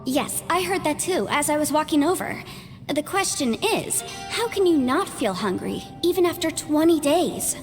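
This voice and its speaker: A woman's voice: sits high, expressive, normal speed, big-room sound, over music. A woman playing a poised, inquisitive young woman in a video game, speaking precisely and formally. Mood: intrigued.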